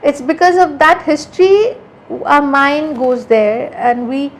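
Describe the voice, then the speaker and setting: A woman with a notably high voice speaks earnestly in a relaxed interview. She is an art conservator from India who thinks aloud, circling back and rephrasing as she goes. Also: slow. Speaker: art conservator, podcast guest. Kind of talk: casual interview conversation.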